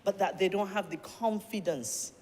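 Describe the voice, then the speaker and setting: A middle-aged government minister with a Barbadian accent gives a formal, deliberate parliamentary speech, often addressing the chair and building points through repeated questions. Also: mid-range voice, a little mellow. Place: parliament chamber. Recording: long-reverb chamber with faint noise.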